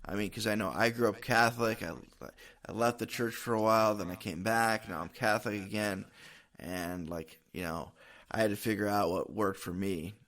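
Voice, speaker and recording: A man's voice: on the low side, fairly even intonation, moderate pace, a bit bright. A man hosting a podcast, chatting casually and informally in a rambling way, as if thinking aloud. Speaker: podcast host. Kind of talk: casual interview chat.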